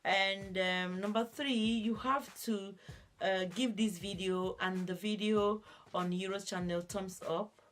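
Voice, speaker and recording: A woman's voice: medium pitch, steady tone, takes it slowly, fairly bright tone, slight background noise. A woman with a voice cracked from a cold, explaining a giveaway in a casual, hesitant way with many fillers. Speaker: beauty vlogger, host.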